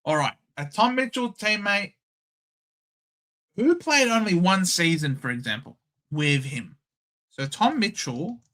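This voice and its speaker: A man's voice: high-pitched, a bit bright. An Australian man hosting a casual livestream, chatting, thinking aloud and reading out viewers' names. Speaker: livestream host.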